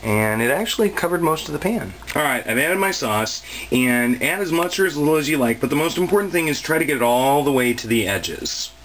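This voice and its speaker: A man's voice: medium pitch, background noise. A man in his thirties giving a casual, self-mocking cooking demonstration in a small home kitchen, talking into a close camera mic. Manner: chatty, self-mocking, playful asides. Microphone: handheld camera mic, close.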